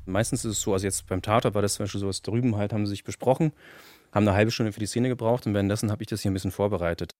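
A man's voice: on the low side, steady tone, very fast, a little mellow, dry recording, studio quality. A man, a sound engineer, explaining his work casually in informal, colloquial speech.

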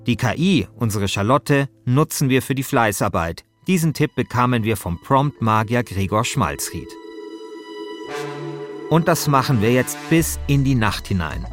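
A man's voice: mid-range voice, animated, measured pace, soft background music. A man hosting a podcast, moving between casual chat and scripted narration. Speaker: podcast host and author.

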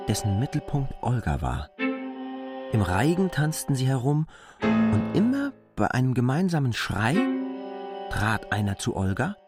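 Male literary narrator, very lively intonation, intense, with prominent music behind. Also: medium pitch. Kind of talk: dramatic scripted literary narration. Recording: loud guitar music under voice. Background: loud guitar music.

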